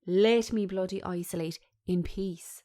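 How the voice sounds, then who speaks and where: A woman's voice: lowish voice, steady tone, a little slow, dark tone, close to an everyday voice. A woman voicing an irritable, defensive young man fed up with lockdown, grumbling bluntly in a script reading. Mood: exasperated.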